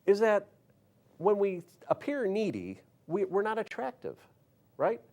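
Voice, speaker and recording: A man's voice: sits high, huge pitch swings, a little slow, a little mellow. A man hosting a casual talk show, rambling and cutting himself off mid-sentence. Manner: rambling, self-interrupting.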